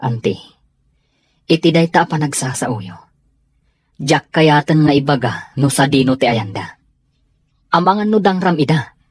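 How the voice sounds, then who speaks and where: A man's voice: a little high, lively intonation, unhurried, pauses often. A Filipino man playing a respectful young nephew in a radio drama, polite and reluctant in manner. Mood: reluctant, worried. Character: hesitant, respectful young nephew guarding a secret. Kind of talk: Ilocano radio drama acting.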